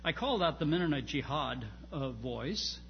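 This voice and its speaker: Halting male lecturer in an echoing hall. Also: fairly high pitch, lively intonation, vocal fry, faint music behind. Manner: halting, searching phrasing with repeated starts and emphatic stresses. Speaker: lecturer on church history and theology. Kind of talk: informal academic lecture with slides. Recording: muffled, reverberant hall with background noise.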